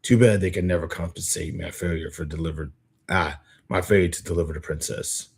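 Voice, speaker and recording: A man's voice: sits low, steady tone, brisk, gravelly, breathy edge, exaggerated voice. A man voicing a grave, fatalistic veteran assassin in a solemn, formal reading of story dialogue. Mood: resigned, bitter.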